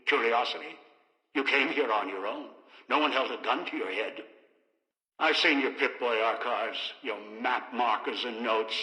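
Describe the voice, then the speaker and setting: An actor playing a bitter, scheming old man in a video game, speaking in clipped, deliberate, menacing phrases. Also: mid-range voice, pushed and bright. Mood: scornful, accusing. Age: older. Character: bitter, scheming old man obsessed with pre-war technology. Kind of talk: video game character dialogue. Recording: echoey, long reverb.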